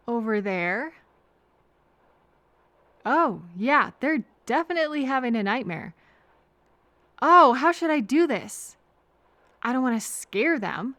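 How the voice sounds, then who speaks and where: A woman playing a kind, anxious, chatty traveler who gently overthinks and thinks aloud in a hesitant, earnest audio roleplay. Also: a little high, no room sound, heavily acted. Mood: anxious, dithering.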